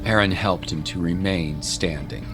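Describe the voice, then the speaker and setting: A man's voice: low-pitched, unhurried, over music. A man narrating a scripted dramatic story.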